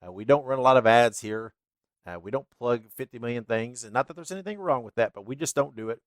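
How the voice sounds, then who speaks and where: A man's voice: mid-range voice, expressive, fast, no echo, clean studio sound. A man hosting a wrestling podcast, chatty and laughing, sounding stuffy and congested. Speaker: podcast host. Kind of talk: casual podcast outro.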